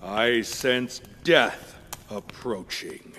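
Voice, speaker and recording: A man's voice: mid-range voice, extremely slow, heavy background noise. An actor playing a grand old skeletal king in a video game, courtly and grave, with formal, theatrical phrasing. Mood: commanding, ominous. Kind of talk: video game cutscene acting.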